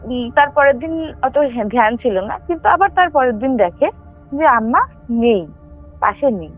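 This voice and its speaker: A woman telling a ghost story casually as a caller, halting, repeating and correcting herself as she goes. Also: a little high, animated, husky. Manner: halting, repetitive, self-correcting. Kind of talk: casual spoken storytelling with frequent check-in questions. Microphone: phone line. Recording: tinny phone call with music underneath. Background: music.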